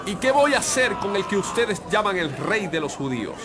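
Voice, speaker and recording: A man's voice: high-pitched, very animated, brisk. A man playing a commanding Roman governor who questions people theatrically in a dramatized audio Bible. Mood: incredulous, pressing. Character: Pilate, male Roman governor questioning an accused prisoner. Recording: noisy crowd ambience behind the voice.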